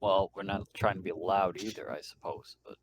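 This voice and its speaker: A man's voice: deep voice, moderate pace, noisy background. A man chatting casually as a player in an online tabletop game. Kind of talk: casual chat.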